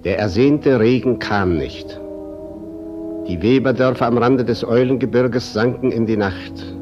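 A man narrating a tragic radio play in a measured, solemn manner. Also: medium pitch, close to an everyday voice. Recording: dull old radio recording, music behind.

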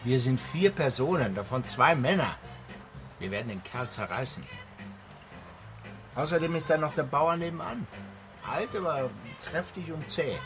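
A man's voice: a little high, expressive, moderate pace, pauses often, a bit bright, faint music behind. An actor playing a brash, belligerent man in a radio drama, sardonic and blustering among friends. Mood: belligerent bragging.

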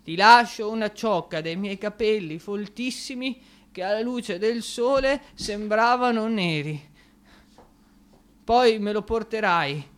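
A man's voice: high-pitched, slow pace, a bit bright, smooth. A man acting in an Italian radio drama as an anguished young clerk losing his mind, pleading and blaming himself. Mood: desperate farewell. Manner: anguished, pleading, emotionally overwrought.

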